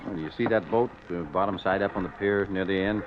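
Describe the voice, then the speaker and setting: A man's voice: deep voice, brisk, natural voice. An actor playing a folksy, matter-of-fact old man who runs a bait shack, in 1950s radio drama style. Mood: unhurried, grumbling. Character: laconic old male bait-shack keeper. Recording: dull old radio recording, waterside noise.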